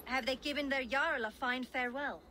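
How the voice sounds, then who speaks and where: A forthright Viking villager played by a woman speaks in a video game scene. Her voice is high, formal and insistent. Clear ambient noise runs behind her. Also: steady tone, moderate pace, natural voice. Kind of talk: dramatic video game acting.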